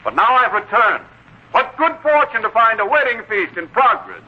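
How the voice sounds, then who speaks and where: Confident young heir, pointed and formal, wide-ranging intonation, 1940s radio acting with dull, hissy sound. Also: male voice, high-pitched, very animated, normal speed, breathy edge, full character voice. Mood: polite, confident. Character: self-assured returning young heir, politely cutting and commanding. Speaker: radio drama actor. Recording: muffled, noisy 1940s radio broadcast.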